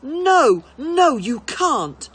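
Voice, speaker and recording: A woman's voice: sits high, very animated, drawn-out words, voice pushed up, exaggerated voice. A woman voicing a passionate, distraught seven-year-old boy in a storybook reading, pleading emotionally. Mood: anguished protest.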